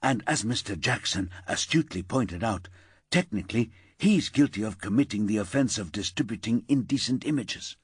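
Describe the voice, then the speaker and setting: A man reads a pragmatic senior detective, a woman, in his near-natural voice, calm with lively turns. Dry and close. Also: on the low side, moderate pace, slightly rough, no room sound. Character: level-headed senior female detective.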